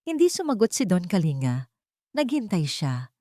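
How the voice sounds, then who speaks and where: A woman's voice: fairly low pitch, wildly swooping pitch, normal speed, warm tone, no room sound. A woman narrates a scripted drama in the manner of an audiobook. The sound is dry, with very little room in it.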